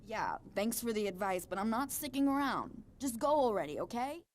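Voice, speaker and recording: Actress as jittery, stubborn young woman: higher register, hesitant, defensive, natural game-drama delivery. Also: expressive, normal speed, natural voice. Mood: defiant, edgy.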